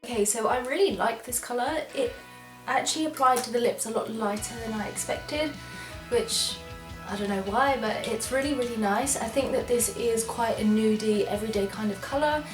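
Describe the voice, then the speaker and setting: English girl w a stuffy cold voice, chatty and excited, roomy echo, bit of music playing faintly. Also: a little high, normal speed. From England. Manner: chatty, enthusiastic, apologetic asides. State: congested from a cold. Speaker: beauty vlogger. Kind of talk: casual product try-on chat.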